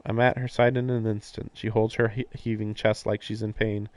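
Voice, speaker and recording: A man's voice: on the low side, level tone, fairly quick, no echo. A man on a live game stream, reading narration aloud and breaking off for casual, puzzled commentary. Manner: puzzled, questioning asides. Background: game music.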